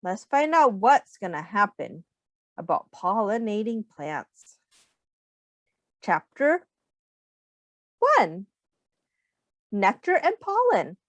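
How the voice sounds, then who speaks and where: A woman reading a children's book aloud as a teacher, expressively, pausing to explain things simply and ask young listeners questions. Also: mid-range voice, big pitch swings, no room sound.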